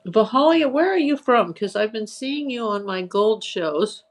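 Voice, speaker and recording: A woman hosting a live online auction stream, chatting casually, greeting viewers by name and listing items for sale. Her voice is getting tired and a little hoarse from hours of talking. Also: on the high side, lively intonation, measured pace. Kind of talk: casual live-selling chat.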